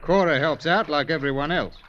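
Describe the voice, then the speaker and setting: An actor playing a steady, blunt, practical castaway who takes charge, in an old radio drama. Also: male voice, a little high, fairly quick, dull audio, a little hiss or hum, close to an everyday voice. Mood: firm. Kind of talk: 1940s-50s radio drama acting.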